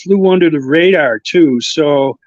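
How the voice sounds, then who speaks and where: A man with a slight Chicago accent, a guest co-host who talks haltingly with many uhs and restarts. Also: on the high side, muffled recording, crowd noise. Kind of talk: casual conversation.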